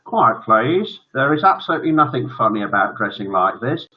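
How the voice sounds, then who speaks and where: A man's voice: mid-range voice, very animated, moderate pace, muffled recording, natural voice. A man voicing a pompous, sentimental, overwrought schoolteacher father in a children's story. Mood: displeased.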